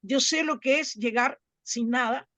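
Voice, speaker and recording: A woman from Latin America telling a personal story to a group in an earnest, halting way, repeating words as she goes. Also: sits high, a bit bright, no room sound. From Latin America, outside Chile. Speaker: club volunteer and workshop participant.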